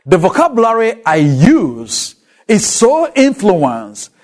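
A man with a Ghanaian accent delivers a deliberate lecture, pausing to put questions to his listeners. His voice sits fairly high for a man and swings widely in pitch. He speaks slowly, weighing each phrase. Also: wildly swooping pitch, a little mellow. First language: a Ghanaian language. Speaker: Bible lecturer. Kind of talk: teaching lecture.

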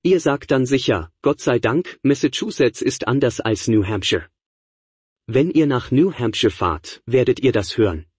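A man's voice: mid-range voice, animated, a little slow, a little mellow, husky, no room sound. A middle-aged man lecturing to college students in a conversational way, firing rhetorical questions at the class. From Niagara Falls area, New York, USA. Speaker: professor.

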